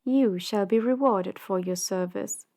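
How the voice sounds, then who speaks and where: A woman playing a commanding, power-hungry crime boss in an audio drama, measured and condescending, with polite menace. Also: medium pitch, warm tone, natural voice. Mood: pleased, coolly amused. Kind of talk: audio drama roleplay acting.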